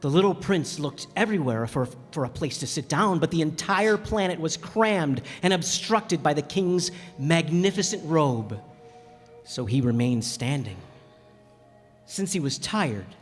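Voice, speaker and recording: A man acting a gentle, reflective narrator with a slightly hesitant delivery in a radio play. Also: fairly high pitch, lively intonation, unhurried. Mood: storytelling. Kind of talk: dramatic storytelling in a radio play. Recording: echoey hall with music bed.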